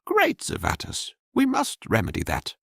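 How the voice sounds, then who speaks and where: Brief: man voicing a blunt young maid, deep harsh voice, gravelly edge, audiobook. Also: wildly swooping pitch, normal speed, no echo, studio quality, full character voice. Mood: sharp. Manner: blunt, sharp-tongued.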